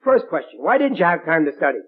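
A man's voice: fairly high pitch, sing-song, quick pace, breathy edge. An actor playing a bumbling, stubborn working-class American father in a blustering, ungrammatical way, in old radio sitcom style. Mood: indignant. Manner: blustering, ungrammatical everyman talk. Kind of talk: 1940s radio sitcom acting. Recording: muffled old radio broadcast.

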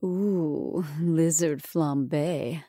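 A woman's voice: drawn-out words, dark tone, dry recording, studio quality, exaggerated voice. An actress playing a sultry, sardonic vampire woman who hosts a horror show, with a silky voice and theatrical, haughty delivery in a dramatized audiobook. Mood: sultry, savoring. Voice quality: deep, silky.

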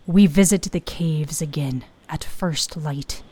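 Lady doing a tired bearded king for a story podcast, low growly voice, slow and guarded, soft and dark. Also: slightly rough, heavily acted. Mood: weary, commanding.

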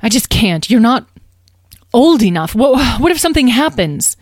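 A woman's voice: on the low side, very animated, brisk, darkish tone, no room sound, studio quality. A woman voicing a worried, tender mother dragon in a storybook, earnest and hesitant. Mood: anxious.

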